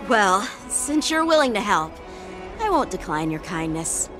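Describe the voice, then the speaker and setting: Polite, expressive youthful swordsman. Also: mid-range voice, unhurried, over music. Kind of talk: video game voice acting.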